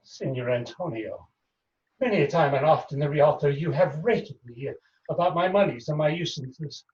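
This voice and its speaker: Distant, muffled recording. Wronged Shakespearean moneylender, played by a male actor, fairly high voice, declaiming with bitterness. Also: darkish tone, natural voice. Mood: bitter, aggrieved. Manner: declaimed, deliberate stage delivery.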